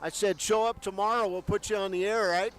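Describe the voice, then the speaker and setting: A man's voice: high-pitched, steady tone, fairly quick, a bit bright, poor audio. A man hosting a radio pregame show from courtside, chatting upbeat with a guest. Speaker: radio sports broadcaster. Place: courtside in a gym.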